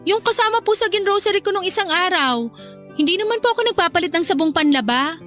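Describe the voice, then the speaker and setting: An actress playing a dutiful but jealous young wife in a radio drama. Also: female voice, very high-pitched, lively intonation, quick pace, fairly bright tone, faint music behind. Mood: meek, apologetic. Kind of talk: Filipino radio drama acting.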